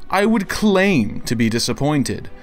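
A man's voice: fairly high pitch, very animated, a little slow. A man doing a dramatic reading as a pompous, indignant internet crank with an overly formal, theatrical delivery. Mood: condescending. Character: pompous, indignant male internet crank issuing grandiose demands. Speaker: narrator of read-out messages. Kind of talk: dramatic reading of written messages.

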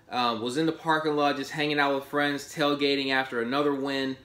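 A man's voice: medium pitch, roomy sound. A man in his thirties talking casually and enthusiastically as a fan reviewing a game, filmed at his desk in a small home office. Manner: enthusiastic, animated, gestures while talking. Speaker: sports podcast host.

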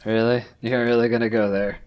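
A man's voice: medium pitch, level tone, fairly quick, smooth, lo-fi sound. A young man talking casually during gameplay, with an easygoing, dryly teasing manner. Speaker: gaming video host.

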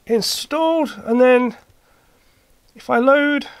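A hobbyist host giving a casual hands-on demonstration, halting and matter-of-fact, thinking aloud while working. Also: high-pitched, no room sound. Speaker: host, retro computer hobbyist. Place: indoor cluttered workshop.

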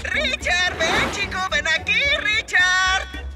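Una mujer interpreta a una ardilla macho de dibujos animados, ansiosa, dramática y propensa al lloriqueo. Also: female voice, extremely high pitch, expressive, slow pace, a loud crowd, exaggerated voice. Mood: anxious searching. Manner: overwrought, dramatic, given to wailing. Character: frantic, anxious male cartoon squirrel, devoted to his plant. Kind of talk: cartoon voice acting. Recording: loud music behind the voice.

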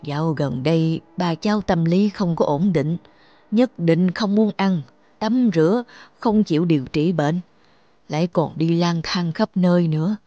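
A woman voicing a worried old grandmother in a cartoon, talking in a slow, sorrowful, halting way. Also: lowish voice, dark tone, no room sound, highs cut off, faint music behind, close to an everyday voice.

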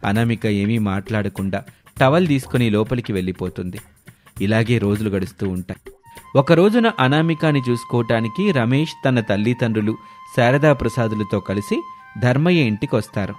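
Male narrator, scripted storytelling, middle pitch, music underneath. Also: lively intonation, moderate pace, a little mellow. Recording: music bed behind voice.

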